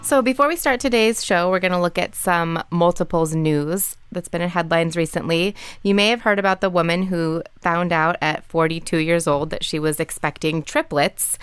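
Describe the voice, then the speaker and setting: Woman, 30, podcast producer, smooth clear lower voice, conversational delivery. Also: fairly bright tone, no room sound. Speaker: show producer and panelist. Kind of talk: casual news chat.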